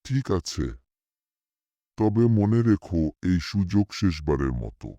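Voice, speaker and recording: A man's voice: low-pitched, lively intonation, takes it slowly, warm tone, no room sound. A man voicing a stern, solemn magical genie who grants wishes in a children's cartoon. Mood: stern warning.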